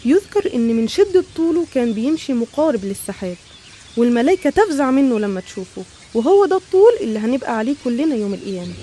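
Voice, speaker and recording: A woman's voice: a little high, big pitch swings, very fast, mellow, slight background noise. A woman with an Egyptian accent narrating scripted religious stories in an explanatory, didactic manner.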